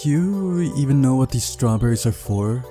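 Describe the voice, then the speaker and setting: Man reading as a brisk, bossy female camp leader. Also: medium pitch, expressive, mellow, soft background music, lightly acted. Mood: teasing.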